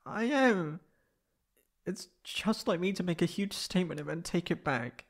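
Male actor as tsundere catboy, proud yet insecure, unhurried, gravelly edges, hesitant stammer, ASMR roleplay. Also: sits high, lively intonation, full character voice. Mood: sad, ashamed.

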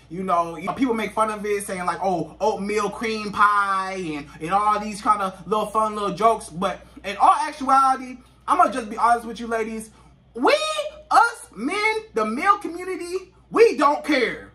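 A man, giving emphatic, repetitive relationship advice straight to the camera. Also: very high-pitched. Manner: emphatic, repetitive, gestures constantly, often rises to near shouts. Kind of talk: animated direct-to-camera advice talk. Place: small indoor living room. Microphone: camera a few feet away. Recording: slightly distant camera mic.

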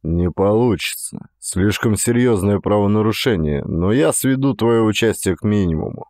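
A man's voice: on the low side, normal speed, a little mellow, no echo, clean studio sound, lightly acted. A man playing a blunt but loyal police detective in an audiobook thriller, speaking in a terse, matter-of-fact way.

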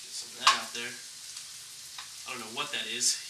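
A man's voice: mid-range voice, little pitch movement, fairly quick. A man in his twenties chatting casually as he demonstrates cooking as a chef in a home kitchen. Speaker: chef hosting a cooking show. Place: small home kitchen, indoors. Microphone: camera a few steps away. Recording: echoey noisy kitchen, distant camera microphone.

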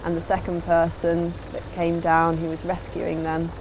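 Low, even-toned woman narrating instructions. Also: normal speed, background noise. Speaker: sailing instructor.

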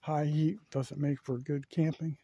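A man's voice: on the high side, level tone, measured pace, vocal fry, warm tone, dull audio, slight background noise. Chatty man on an outdoor walking tour. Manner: chatty, thinking aloud, many fillers. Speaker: vlogger giving a walking tour. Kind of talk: casual walking-tour narration. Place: outdoors at a wooded campground. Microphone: handheld camera while walking.

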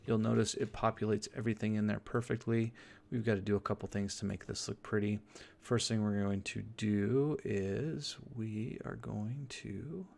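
A man giving an informal screen tutorial, thinking aloud as he explains each step in a casual, halting way. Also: fairly low pitch, gravelly, darkish tone. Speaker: software tutorial instructor. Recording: noisy room with keyboard clicks. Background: typing and mouse clicks.

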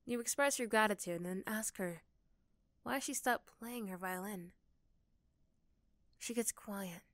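A woman's voice: mid-range voice, a little slow, fairly bright tone, dry recording. A woman reading scripted second-person story narration for an ASMR roleplay.

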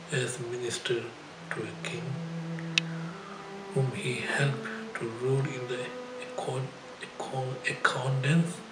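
An older man, a Buddhist teacher, reads a scripted life story aloud in a halting, phrase-by-phrase way. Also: medium pitch. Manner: halting, phrase-by-phrase delivery with frequent throat clearing. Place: indoor shrine room. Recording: roomy echo, music behind.

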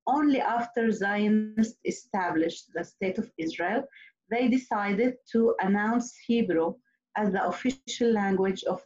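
Slow, earnest woman on a dull call. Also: medium pitch, darkish tone. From Nazareth. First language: Arabic. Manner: slow, earnest, with hesitant restarts. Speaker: panelist, clinical psychologist. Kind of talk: prepared talk on a video call. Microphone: laptop webcam mic on a video call. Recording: dull lo-fi video call audio.

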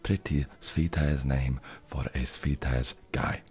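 Man doing an awkward, very deep-voiced vampire housemate. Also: echoey room, faint music behind. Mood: fondly gossiping. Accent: put-on foreign vampire accent. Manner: halting, deadpan, awkwardly friendly. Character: awkward, eager male vampire housemate desperate to seem trendy. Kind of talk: soft-spoken comic ASMR roleplay.

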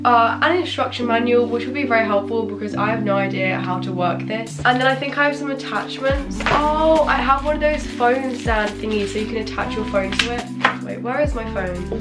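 A teenage girl with a croaky voice from a cold, chatting excitedly through an unboxing vlog in her bedroom, filmed on a camera mic. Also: fairly high pitch. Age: teens. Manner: chatty and excitable, lots of "like" and "literally". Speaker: YouTuber. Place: bedroom, indoors.

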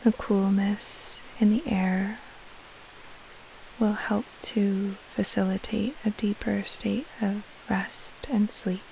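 A woman leading a guided sleep meditation as a yoga nidra teacher, in a hushed, breathy, soothing delivery with long pauses between short phrases. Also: medium pitch, flat delivery, low-quality recording. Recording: faint hiss and rustle. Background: soft rain-like noise.

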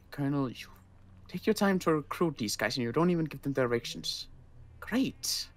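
A man playing a tired, injured survivor in a zombie game roleplay, speaking in a halting, dry, curt way. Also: on the high side, lively intonation, lightly acted. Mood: weary, grudging. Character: weary, grudging injured male survivor of a zombie apocalypse. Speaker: roleplay player.

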